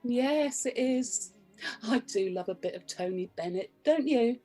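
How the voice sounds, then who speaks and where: A woman playing a cheeky, frisky middle-aged British wife in a radio comedy play, expressive and suggestive. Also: on the high side, unhurried, faint music behind. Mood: flirtatious.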